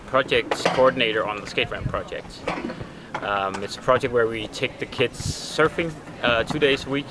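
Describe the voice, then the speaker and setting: A young man from Denmark, an intern coordinating a kids' project, speaking in a relaxed way with filler pauses. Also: on the low side. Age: young adult. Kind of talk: casual interview testimonial. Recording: loud outdoor noise near the sea.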